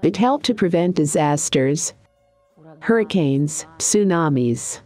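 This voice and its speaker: A woman with a low voice narrates a guided meditation. She speaks calmly and deliberately, giving soothing instructions in short phrases with pauses. Soft background music sits faintly beneath her.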